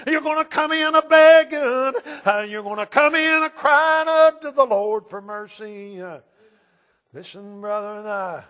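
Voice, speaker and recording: High-voiced man preaching, half-chanted revival sermon. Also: lively intonation, unhurried. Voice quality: high-pitched voice rising into loud cries. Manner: rhythmic, punctuated by repeated gasped filler syllables and calls to the brethren.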